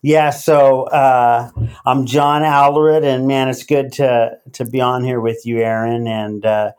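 A middle-aged man raised in Latin America, speaking as a podcast guest in a casual, halting way with many fillers and restarts. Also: medium pitch, little pitch movement, darkish tone, clean tone. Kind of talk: casual interview.

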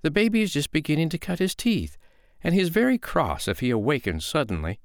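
A man voicing a calm, sly foster mother in a storybook, with a lilting, mock-innocent, needling way of talking. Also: on the high side, a little fast, dry recording, clean studio sound, pitched above the performer's own voice. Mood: hospitable, hushing.

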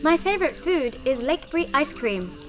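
A woman's voice: sits high, sing-song, normal speed, faint music behind. A woman voicing a polite little alien girl who carefully recites a newly learned language in a sci-fi audio drama. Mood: sweet, eager.

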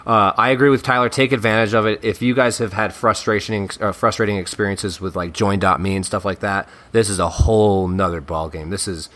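A man's voice: lowish voice, fairly even intonation, a little fast, hardly any pauses, fairly bright tone. A man hosting a podcast, upbeat and enthusiastic, sounding nasal from bronchitis, over a remote call. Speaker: podcast host. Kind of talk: casual podcast chat. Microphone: remote call mic.

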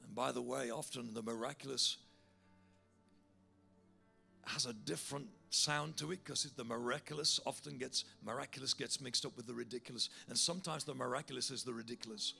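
A man's voice: mid-range voice, a little fast, gravelly, faint music behind. A man preaching a sermon, telling a story and leading a call to prayer in a big voice. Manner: animated storyteller, building to loud fervent altar call.

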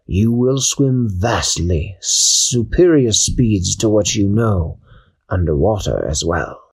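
A man doing a slow, solemn wise owl. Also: on the low side, animated, breathy edge, full character voice. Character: wise old great horned owl, solemn.

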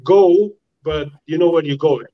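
Romanian-accented man, halting, slightly muffled. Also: a little high. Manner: halting, repeats words while searching for phrasing. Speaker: guest. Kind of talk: casual interview conversation.

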